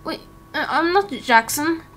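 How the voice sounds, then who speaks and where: Woman playing a halting, high-voiced timid young man. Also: expressive, a bit bright, a little hiss or hum. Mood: pleading. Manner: hesitant, stammering, halting. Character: timid anxious young man longing for his father. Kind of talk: amateur game roleplay acting.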